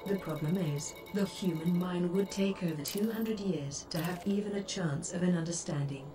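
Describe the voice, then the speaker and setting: A woman's voice: on the low side, normal speed, natural voice. A woman playing a composed, philosophical shipboard artificial intelligence in a space game, speaking formally and instructively. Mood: solemn, instructive. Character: composed female ship artificial intelligence companion, advising and philosophical. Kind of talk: scripted game voice pack lines. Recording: distant, noisy game stream capture.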